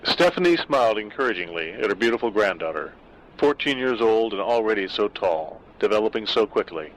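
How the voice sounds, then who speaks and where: A man reading a dark short story aloud in a measured, wry way. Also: on the low side, animated, slight background noise, low-quality recording. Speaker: narrator. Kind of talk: scripted story narration.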